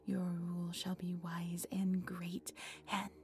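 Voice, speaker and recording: A woman playing an obsessive, devoted female cult leader in a roleplay audio drama, with a breathy, reverent, theatrical delivery. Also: on the low side, completely flat delivery, takes it slowly, darkish tone. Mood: solemn incantation. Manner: breathy, reverent, theatrical incantation with nervous stammers.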